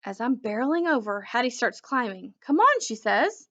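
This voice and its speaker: A woman reading a children's book aloud as an expressive narrator. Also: fairly high pitch, normal speed, muffled recording. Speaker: storybook reader.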